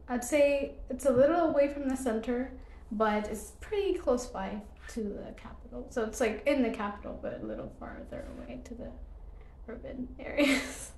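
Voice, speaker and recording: A woman's voice: a little high, animated, moderate pace, darkish tone, reverberant, a little hiss or hum, low-quality recording. A young woman from Ethiopia, a podcast guest, answering casually with many fillers and short laughs. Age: young adult.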